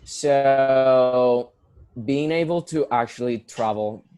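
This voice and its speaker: A man's voice: medium pitch, takes it slowly, mellow, clear, resonant tone, dry recording, clean studio sound, faint music behind. Earnest Puerto Rican-accented young man. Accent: Puerto Rican Spanish. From Puerto Rico. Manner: earnest, many fillers and restarts. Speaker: guest, musical theater performer. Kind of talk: casual conversational interview.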